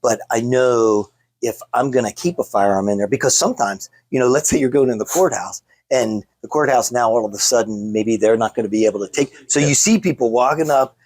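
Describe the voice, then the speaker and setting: A man's voice: mid-range voice, fairly quick, a little mellow, no room sound. An American man hosting a casual on-location interview as a legislator, talking in a rambling way with false starts. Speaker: state legislator and show host.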